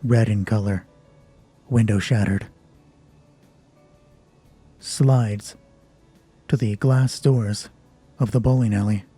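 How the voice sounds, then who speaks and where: A man's voice: fairly low pitch, steady tone, slow, pauses often, dark tone, slightly rough, faint music behind. A man narrating a solo tabletop roleplay as game master, thinking aloud in a conversational way.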